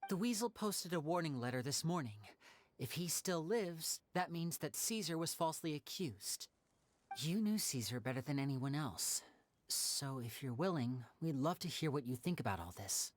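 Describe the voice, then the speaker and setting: An actor voicing a young male stage magician in a video game, talking in a gentle, persuasive, measured way. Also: mid-range voice, expressive, a little hiss or hum, natural voice. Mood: calm.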